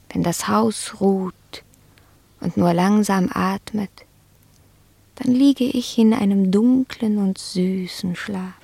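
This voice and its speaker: An actress playing an indolent, fastidious pet cat who philosophizes in a languid, haughty radio-play manner, savoring the words. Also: female voice, medium pitch, creaky, a little mellow, no echo. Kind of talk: German radio play acting.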